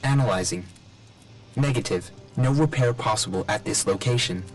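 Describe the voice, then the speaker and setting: An actor playing an emotionless military robot drone in a video game, giving literal, clipped status reports. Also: male voice, on the low side, normal speed, soft background music, natural voice. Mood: flat, mechanical.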